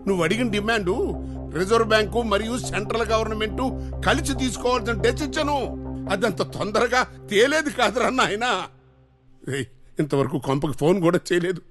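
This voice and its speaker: A man acting as a kidnapped politician in a film drama speaks in a raised, high voice. He is indignant and exasperated, scolding one moment and pleading the next. Soft music sits behind him. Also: fairly quick, lightly acted. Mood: pleading, weary. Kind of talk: Indian film drama dialogue. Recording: film soundtrack with faint music.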